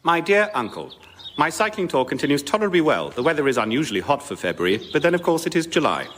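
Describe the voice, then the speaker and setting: An actor playing a genteel British nephew narrating a florid letter in a prim manner, in radio sketch comedy. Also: male voice, fairly high pitch, very animated, fast. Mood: genteel, cheerful. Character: genteel holidaying nephew reading out his letter. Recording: noisy live audience recording.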